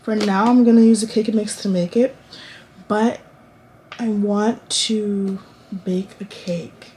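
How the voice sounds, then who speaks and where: A woman's voice: mid-range voice, steady tone, slow pace, warm tone, a little hiss or hum. A woman in her late teens chatting casually to camera in a college dorm room, rambling with lots of restarts and fillers. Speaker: vlogger.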